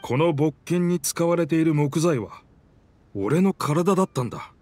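A man's voice: a little high, unhurried, a little mellow, natural voice. A man voicing a game character, the proud, wistful spirit of an old pirate warship, with rugged, old-fashioned, theatrical speech. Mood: reflective, wistful.

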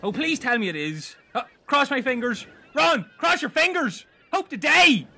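An Irishman in excitable comic banter, swearing freely. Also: very high-pitched, moderate pace, vocal fry, breathy edge. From Ireland. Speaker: comedy podcast cast member. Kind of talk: comic banter and script reading.

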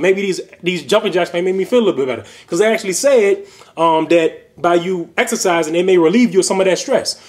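A man's voice: high voice, a little mellow. A young man giving casual fitness tips in a video response, rambling loosely with lots of fillers and restarts, recorded on a webcam in a small bedroom. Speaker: fitness vlogger. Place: small bedroom at home, indoors.

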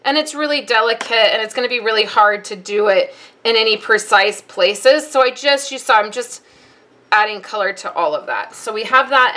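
Woman, card-making teacher, casual and chatty, explains as she works, crisp bright tone. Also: on the high side. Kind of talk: casual step-by-step craft tutorial. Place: craft desk, indoors.

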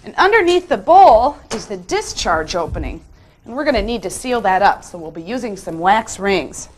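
A woman's voice: mid-range voice, very animated, normal speed, slight background noise. A woman on a renovation crew explaining each installation step in a friendly way while she works. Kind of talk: hands-on how-to instruction.

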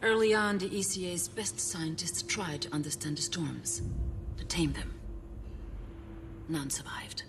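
A grim middle-aged female commander in a game cutscene, with a low voice. She is stern and embittered, often sinking to an intense hush, over clearly audible background noise. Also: measured pace, long pauses. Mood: sombre. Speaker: military commander. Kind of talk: cinematic video game acting.

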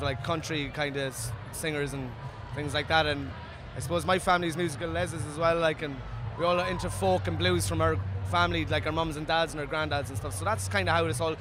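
Laid-back young musician, noisy backstage. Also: male voice, on the high side. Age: young adult. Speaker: band member, interviewee. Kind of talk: casual interview chat. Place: backstage area.

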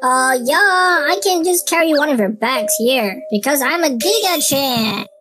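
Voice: high voice, animated. An actor voicing a boastful, easily flustered boy in an amateur game roleplay, with hesitant ums. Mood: boastful, grumbling. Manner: hesitant, drawn-out ums and uhs. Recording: background music under voice.

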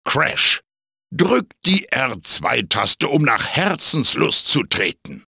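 A man playing a wise, fatherly magical wooden mask in a video game, with a theatrical, instructive manner, dry humor and a gravelly, raspy voice. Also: medium pitch, sing-song, takes it slowly, sharp tone, no echo, heavily acted. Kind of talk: video game character voice acting, tutorial guidance.

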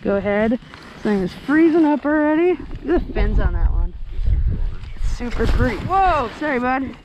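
A young man vlogging while ice fishing on a frozen lake, giving excited, slangy running commentary and talking to the fish. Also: extremely high pitch, moderate pace. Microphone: head-mounted action camera. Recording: outdoor wind and ice noise.

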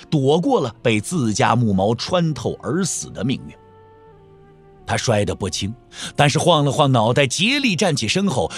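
A male storyteller narrates a martial-arts tale with dramatic, widely varied intonation. His voice is husky and rough-edged, with soft music playing behind it. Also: mid-range voice.

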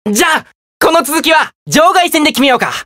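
An actor playing a blunt, competitive teenage schoolboy in an anime-style voice drama, with casual boyish speech. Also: male voice, very high-pitched, animated, fast talker, bright, forceful tone, full character voice. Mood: cocky, excited. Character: brash, competitive teenage schoolboy, sometimes sullen.

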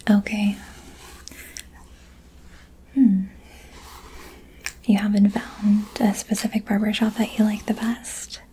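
A young woman whispering softly and gently asking questions as a barber in an ASMR haircut roleplay, recorded close to the mic in a small room. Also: mid-range voice, little pitch movement, slow pace, pauses often, slight background noise, poor audio. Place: small decorated indoor room. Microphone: close studio mic in front.

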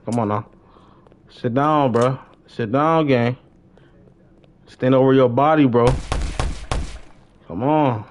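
A young man giving casual live gaming commentary, slangy, repeating short phrases excitedly. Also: medium pitch, takes it slowly, pauses often, dull audio, slight background noise. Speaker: game streamer.

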